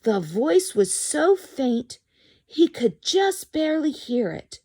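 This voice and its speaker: A woman's voice: high voice, very animated, slow pace, warm tone. A woman reading a children's picture book aloud expressively and chatting to young listeners about it. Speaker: storyteller.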